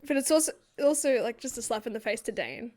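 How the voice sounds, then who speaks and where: A young woman co-hosting a book podcast, chatting casually and swearing freely in quick joking banter. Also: fairly high pitch, animated, darkish tone. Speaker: podcast co-host.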